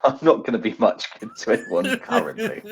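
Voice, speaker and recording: A man's voice: mid-range voice, wildly swooping pitch, brisk, creaky, slight background noise. A man chatting casually as a player in a tabletop roleplaying game. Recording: dull, lo-fi sound.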